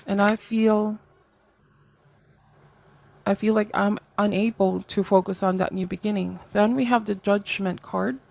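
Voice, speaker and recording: A woman with a medium-pitched voice reads tarot for her viewers. She talks conversationally and reflectively, offering guidance as she goes and checking in with small tags seeking agreement. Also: steady tone, a little slow, vocal fry, long pauses, a little mellow. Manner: reflective, advisory, seeks agreement with tags like okay and right. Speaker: tarot reader, host.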